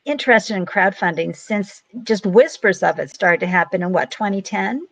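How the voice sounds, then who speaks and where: A woman's voice: mid-range voice, normal speed, dry recording. Cheery woman host asking questions, mid voice, dry close sound. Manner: upbeat and encouraging. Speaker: interview host. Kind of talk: video interview hosting.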